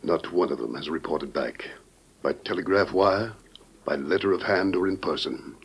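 An actor playing a grave, dignified president with solemn, weighty oratory in an old-time radio drama. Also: male voice, sits low, moderate pace, creaky, husky, heavily acted. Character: grave, dignified American president briefing a secret agent.

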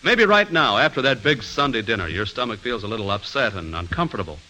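A man's voice: fairly low pitch, expressive, quick pace, sharp tone, rough recording. A man reading a scripted 1940s radio commercial in an emphatic, polished, salesmanlike way. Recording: vintage radio broadcast, faint noise.

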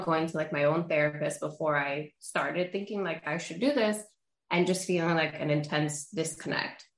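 A young woman originally from Honduras, with Spanish as her first language, talks candidly as a therapist guest on an interview show. Her voice sits a touch low, and the room around her rings with a clear echo. She tells her story in a loose, lively way, full of everyday fillers. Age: young adult. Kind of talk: casual interview chat. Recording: roomy echo.

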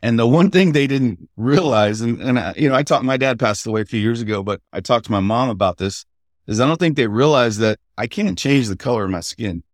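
A middle-aged man, a podcast guest, telling family stories in a halting, conversational way without a regional accent. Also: mid-range voice, animated, no echo, studio quality. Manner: halting, with many fillers and restarts. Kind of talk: casual interview storytelling.

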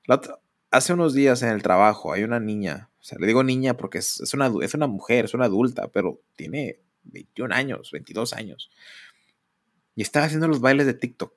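A man in his thirties with a Mexican accent, hosting a solo podcast and speaking candidly in a rambling way. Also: brisk. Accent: Mexican Spanish. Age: 30s. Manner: candid, rambling, mocks others in exaggerated high voices. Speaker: podcast host.

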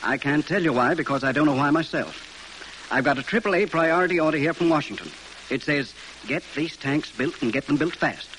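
An actor playing a firm, weary arsenal manager in an earnest 1940s radio drama style. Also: male voice, a little high, animated, brisk, a bit bright. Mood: grave, firm. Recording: noisy vintage radio drama recording.

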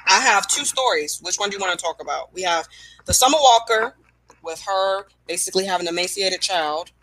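A woman co-hosting a podcast, chatting casually and telling stories with animated, self-mocking humor. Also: mid-range voice, a little fast, no room sound, poor audio. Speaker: podcast co-host. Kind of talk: casual chat.

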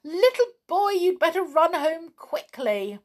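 A woman voicing an anxious, excitable little pixie in a children's storybook, exclaiming urgently. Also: very high-pitched, slow pace, voice pushed up, exaggerated voice. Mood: urgent warning.

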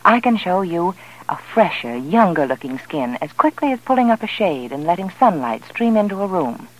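A woman's voice: on the low side, sing-song, normal speed, rough recording. A woman delivering a 1940s radio commercial with emphatic, persuasive, carefully articulated speech. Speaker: advertising spokeswoman.

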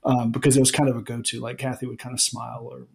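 A man in his forties from Alabama, the usual host, answering interview questions in a relaxed, rambling way with many restarts. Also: mid-range voice, fast talker, vocal fry. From Alabama, United States. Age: 40s. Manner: rambling, many ums and restarts, laughs easily. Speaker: podcast host being interviewed. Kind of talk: casual interview chat.

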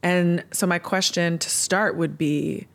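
A woman's voice: on the low side, steady tone, unhurried, gravelly, a bit bright. A woman hosting a podcast interview, talking in an enthusiastic, chatty way and laughing often. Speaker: podcast host.

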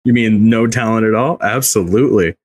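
Male podcast co-host, low-pitched, dry recording, casual and a bit sweary. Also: a little fast, darkish tone, breathy edge, no echo. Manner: informal, sweary. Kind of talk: casual pop culture chat.

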